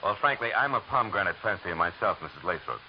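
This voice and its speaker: An actor playing a wry, hard-boiled private eye who narrates with sardonic wisecracks, in a 1940s radio drama. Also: male voice, sits low, big pitch swings, fast. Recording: noisy lo-fi old radio recording.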